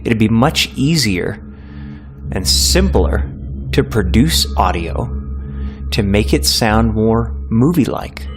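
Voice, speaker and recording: A man's voice: on the low side, animated, slow pace. A man in his late twenties speaking earnestly and nostalgically, as an audio drama creator looking back in an interview. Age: late 20s. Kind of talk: reflective documentary interview. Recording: music playing behind.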